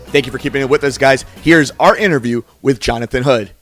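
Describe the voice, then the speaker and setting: A man's voice: medium pitch, quick pace, soft background music. A man hosting a sports podcast, reading promos and interviewing guests about fight sports.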